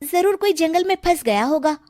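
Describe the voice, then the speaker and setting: Casting: kind, eager cartoon farm girl, high and earnest voiced by a woman. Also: lively intonation, moderate pace, darkish tone, no room sound, clean studio sound. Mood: curious, helpful. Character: kind, earnest village farmer's daughter, young woman.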